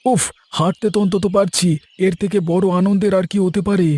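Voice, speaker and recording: A man voicing an easygoing village gentleman and husband in a cartoon story, expressive and placating. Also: high-pitched, normal speed, husky. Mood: joyful.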